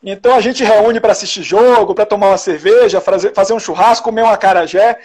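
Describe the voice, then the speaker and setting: A man's voice: sits high, quick pace, darkish tone. A man from Salvador with a Bahian accent, a journalist telling stories in an interview, with many restarts and tag questions. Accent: Bahian Portuguese. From Salvador, Bahia, Brazil. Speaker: journalist guest. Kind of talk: casual interview conversation.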